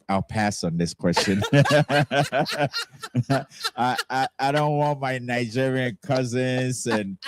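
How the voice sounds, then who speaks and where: A man's voice: mid-range voice, noisy background. A man from Ghana, a guest on a radio show in a studio, talking in an easygoing way and chuckling often. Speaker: guest, nonprofit founder. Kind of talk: casual interview chat.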